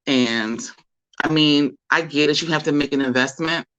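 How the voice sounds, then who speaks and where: An American host with a slightly raspy voice, a bit under the weather, speaking informally and deliberately in a solo livestream commentary. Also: mid-range voice, fairly bright tone, dull audio. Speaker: host of a community talk show.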